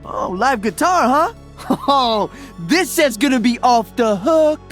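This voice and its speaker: A man's voice: very high voice, expressive, takes it slowly, a bit bright, faint music behind, full character voice. A man voicing an upbeat, playful young celebrity DJ hero in a video game, joking casually. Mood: excited, friendly. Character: upbeat young male Brazilian celebrity DJ and freedom fighter.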